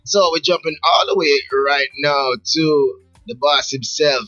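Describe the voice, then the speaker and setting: A man hosting a radio interview, lively and quick to laugh, echoing back his guest's answers. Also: fairly high pitch, dull audio, faint music behind. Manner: animated, laughs often, repeats guest's words. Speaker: radio show host.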